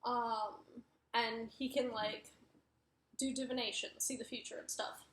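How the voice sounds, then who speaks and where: A woman's voice: a little high, steady tone, unhurried, a bit bright. A young woman with a mixed Wisconsin, New York and Arizona accent, casually chatting through a book review in a rambling way, correcting herself and filling gaps with "like". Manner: rambling, self-correcting, many fillers. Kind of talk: casual chat. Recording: echoey room.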